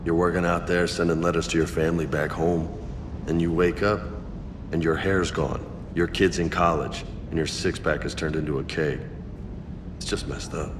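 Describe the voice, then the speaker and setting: A man's voice: a bass voice, fairly even intonation, normal speed, in a large hall, background noise. An actor playing a blunt, casual male soldier who talks with wry humor. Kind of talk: video game dialogue.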